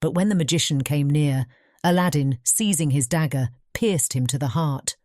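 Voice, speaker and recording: Low-voiced, mellow woman narrating a storybook. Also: normal speed, dry recording, clean studio sound. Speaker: audiobook narrator.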